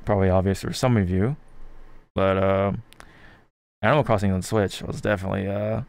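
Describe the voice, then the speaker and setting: Low-voiced, creaky man chatting about games. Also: steady tone, a little mellow, no echo. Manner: halting, rambling, hedging. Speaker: video game podcast co-host. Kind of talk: casual chat, gaming opinions.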